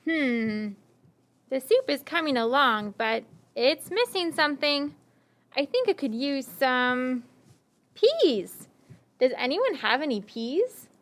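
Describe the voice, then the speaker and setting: A woman voicing a clever, friendly traveling stranger in a children's puppet show, with theatrical, deliberate phrasing. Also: sits high, very animated, a bit bright, heavily acted. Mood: musing, hinting. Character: friendly, tired, hungry wandering stranger puppet, cleverly coaxing.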